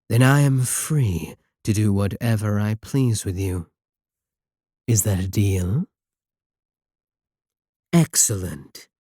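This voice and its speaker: A man playing a sly, sadistic warlock in a roleplay audio drama, smug and possessive, with taunting, deliberate phrasing. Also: on the low side, no room sound, clean studio sound, heavily acted. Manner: taunting, drawn-out, deliberate phrasing with dark chuckles. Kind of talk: scripted roleplay audio drama, spoken to the listener.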